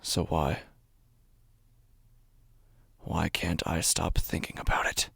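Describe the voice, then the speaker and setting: A man playing an anxious, obsessive apartment dweller in a horror audio drama monologue, with a gravelly, often breathy voice and intense, deliberate delivery. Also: level tone, a bit bright, no echo, heavily acted. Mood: tense, brooding. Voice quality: low, gravelly, often breathy, dropping to whispers. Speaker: horror fiction narrator.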